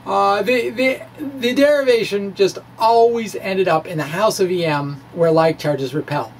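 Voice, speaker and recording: A middle-aged man presenting a science blog to camera in an informal, halting, theatrical way, with musing hums. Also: high voice. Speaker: science video blogger. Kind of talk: informal dramatic reading of a physics blog to camera. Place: small indoor room with bookshelves. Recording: clear background room noise.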